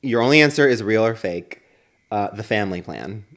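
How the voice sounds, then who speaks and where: A man with a lowish voice co-hosts a comedy podcast. He talks in a casual, playful way, like someone running a silly quiz among friends, and is quick to giggle. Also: lively intonation, a bit bright. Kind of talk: casual, joking chat and quiz hosting.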